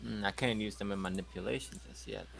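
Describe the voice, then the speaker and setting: A man's voice: on the low side, big pitch swings, fast, gravelly, background noise. A man in a tabletop role-playing game, chatting casually and unhurriedly.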